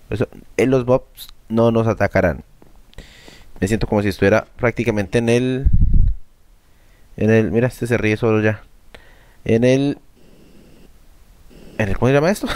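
A man's voice: lowish voice, darkish tone, dry recording. A man with a Colombian accent giving casual, joking commentary over his gameplay and talking straight to his viewers. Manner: chatty, joking, addresses viewers as buddies. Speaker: gaming YouTuber.

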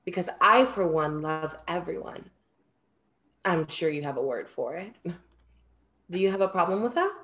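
A woman's voice: fairly low pitch, animated, moderate pace, long pauses, a bit bright. A young woman playing a proud, playful Greek muse with theatrical, formal wording in a play reading. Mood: assertive. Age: young adult. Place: indoor room, video call.

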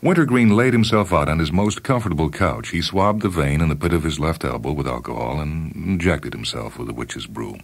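A man reading a short story as dramatic scripted narration, with deliberate, emphatic phrasing and pauses for effect. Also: a bass voice, lively intonation. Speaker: story reader on a radio programme.

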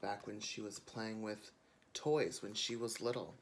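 A man's voice: on the low side, fairly even intonation, unhurried, a little hiss or hum. A man, a drag queen, reading a picture book aloud expressively for children, with chatty asides. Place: indoors before a curtain.

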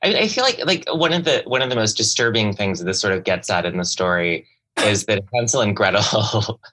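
A man in a casual literary discussion, speaking haltingly and often restarting his thoughts as a panelist. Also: fairly low pitch, animated, bright, forceful tone. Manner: halting, searching, self-interrupting with many likes.

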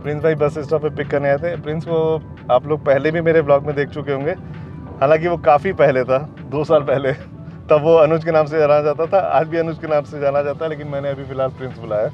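A man's voice: on the high side, fairly even intonation, fast talker, lo-fi sound, soft background music. Chatty male travel vlogger outdoors. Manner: chatty, gives tips straight to camera. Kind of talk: casual travel vlog narration. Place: outdoors on city streets and a rooftop. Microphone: clip-on lapel mic.

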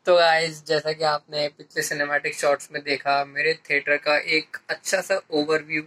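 A man's voice: fairly high pitch, monotone, moderate pace, sharp tone, soft background music. A young man in his twenties showing viewers around his shop in a casual vlog, upbeat and mixing English phrases into his talk, filmed on a phone held at arm's length. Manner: upbeat, mixes English into Hindi. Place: inside a small electronics shop.

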